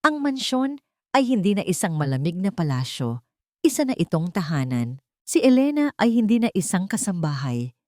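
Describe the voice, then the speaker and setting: A woman's voice: lowish voice, big pitch swings, normal speed, a little mellow, no room sound. Woman narrator for audio drama, emotive storytelling, dry studio sound.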